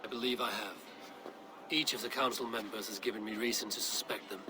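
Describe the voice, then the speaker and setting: An actor playing a stern, commanding Viking warrior in a video game, speaking in measured, decisive, terse phrases. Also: male voice, fairly low pitch, steady tone, natural voice. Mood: deliberate. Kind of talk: cinematic video game acting.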